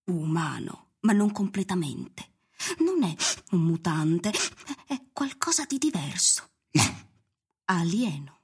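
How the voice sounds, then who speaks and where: A woman voicing a watchful little guard dog in a radio drama, husky and breathy, speaking in halting, cryptic pronouncements. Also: on the low side, sing-song, a little mellow, exaggerated voice. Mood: eerie, watchful. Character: watchful telepathic guard dog, a small terrier.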